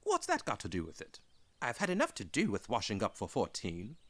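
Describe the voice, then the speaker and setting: Storybook hobbit, played by a male narrator: middle-aged, flustered, fussy, fretful, pitched up from the narration. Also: wildly swooping pitch, brisk. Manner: fretful, protesting.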